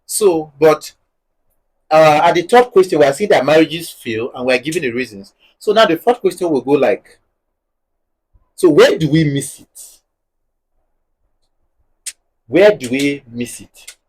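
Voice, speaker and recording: A man's voice: fairly high pitch, expressive, unhurried, pauses often, a little mellow, husky, low-quality recording. A man, hosting a discussion and steering it with his questions. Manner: guiding questions, backchannel murmurs. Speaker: host. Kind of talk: casual panel discussion.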